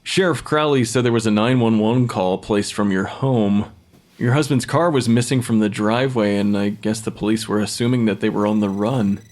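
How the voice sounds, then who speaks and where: An actor playing a dry, matter-of-fact forensic pathologist who questions the dead, in a naturalistic audio drama. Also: male voice, lowish voice, normal speed, a little mellow, close to an everyday voice. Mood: uneasy, reassuring.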